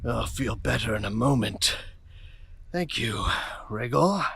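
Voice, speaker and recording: A sneering vampire of the old guard played by a man in a fantasy audio drama. His voice is hard-edged, husky and full of creak. He is haughty, bitter and quick to swear. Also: medium pitch, expressive, a bit bright, no room sound, exaggerated voice. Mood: drained, grudging. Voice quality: raspy, gravelly.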